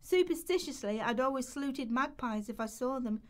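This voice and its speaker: Radio play: woman as anxious young woman on benefits, high voice, confessional, worn down. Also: expressive, moderate pace. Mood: despairing, confiding. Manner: confessional first-person storytelling. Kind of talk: rehearsed radio play monologue.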